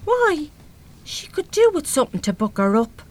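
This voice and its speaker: A woman's voice: high-pitched, big pitch swings, normal speed, darkish tone. An actress with an Irish accent playing a brash, big-hearted, uneducated working-class mother in a live radio play, talking bluntly and colloquially with mild swearing. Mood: defensive.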